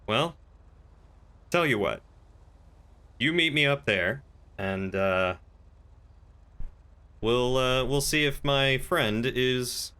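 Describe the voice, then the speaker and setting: A man's voice: mid-range voice, very slow, long pauses, bright, forceful tone, clean tone. A man playing a guarded, shrewd crime boss and bar owner in online game roleplay, speaking with wary, dry politeness. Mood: cautiously agreeable.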